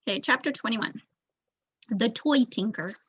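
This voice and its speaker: A woman's voice: on the high side, a little slow, breathy edge. A young woman reading a children's novel aloud as a scripted narration. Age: young adult. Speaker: audiobook reader, student volunteer. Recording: dull lo-fi recording.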